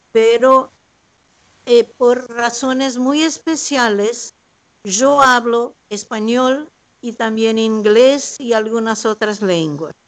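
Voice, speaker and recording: An older woman from Brazil, a native Portuguese speaker talking in Spanish with a Brazilian accent and a Rioplatense lilt, giving a measured, polite account of her life over a video call. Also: a little high, dry recording. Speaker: guest artist. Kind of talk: slow, careful personal account. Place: her home, remote link.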